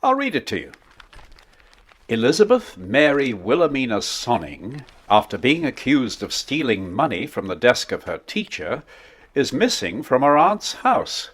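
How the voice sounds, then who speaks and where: A man playing a good-humoured, encouraging middle-aged father with a slightly husky voice in an audiobook drama. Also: medium pitch, a bit bright, heavily acted. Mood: reading out. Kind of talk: audiobook drama acting, reading a newspaper aloud.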